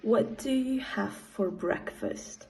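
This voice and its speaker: A woman from Poland, a native Polish speaker, answers interview questions in English. She talks slowly and pauses often. Her voice is mellow and dark, and she sounds like an English teacher talking about her job. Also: fairly high pitch, rough recording. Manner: slow, with hesitant pauses and fillers.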